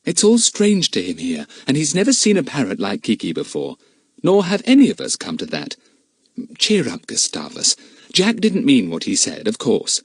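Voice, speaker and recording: A male narrator voicing a firm, brisk, motherly aunt managing a household of children. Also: mid-range voice, wildly swooping pitch, slightly rough, dry recording, pitched above the performer's own voice, exaggerated voice. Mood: soothing.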